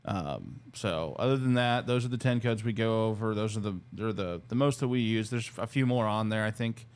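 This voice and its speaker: Low-voiced man in casual work chat. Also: moderate pace, clear, resonant tone. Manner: informal, conversational. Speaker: co-host, security company staff. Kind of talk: casual unscripted work talk show.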